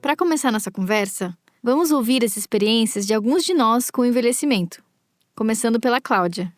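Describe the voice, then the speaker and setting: A woman in her twenties, a podcast host, delivering measured scripted narration. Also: a little high, animated. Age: 20s. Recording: music bed under voice.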